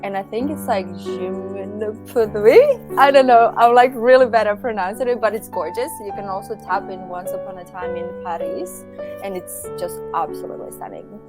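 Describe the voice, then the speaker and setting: A woman's voice: mid-range voice, moderate pace, music in the background. A woman from Croatia, a guest on a podcast, who gives thoughtful answers filled with "like" fillers. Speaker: startup founder guest. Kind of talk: casual interview answers.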